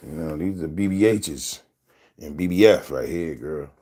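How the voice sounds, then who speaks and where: A man in his thirties with a deep voice, telling loose, rambling stories to his camera as a casual vlog and laughing often. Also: normal speed, mellow. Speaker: vlogger.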